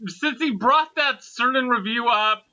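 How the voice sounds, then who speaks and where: A man's voice: very high-pitched, unhurried, sharp tone. A man co-hosting a casual group chat in an enthusiastic, rambling, slangy way, on a dull lo-fi recording. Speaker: co-host.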